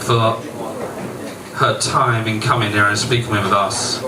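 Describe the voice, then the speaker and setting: A man acting as MC, giving an informal, halting live introduction of a guest. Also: on the low side, fairly even intonation. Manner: halting, pausing delivery. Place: noisy bar venue, voice heard from afar. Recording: echoey, distant, very noisy live venue. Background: loud venue ambience.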